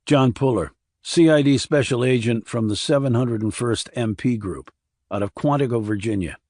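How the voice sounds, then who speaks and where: A man's voice: medium pitch, normal speed, darkish tone, no room sound, clean studio sound, close to an everyday voice. A man playing a terse, wry, formal Army investigator with clipped military delivery in an audiobook. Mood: clipped, official. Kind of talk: audiobook character acting.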